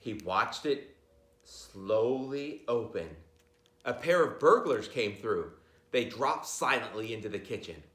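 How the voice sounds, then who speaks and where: A man reads a picture book to children with a very lively, swinging pitch. He is informal and jokey, moving between storytelling and chatty asides. The room around him is noticeably echoey. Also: slow pace. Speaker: teacher reading a picture book aloud. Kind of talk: animated children's read-aloud with chatty asides.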